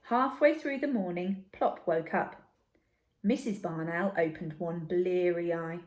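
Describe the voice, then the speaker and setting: A woman's voice: lowish voice, unhurried, darkish tone. A woman reading a children's story aloud expressively, like a teacher addressing young listeners, in an echoey room.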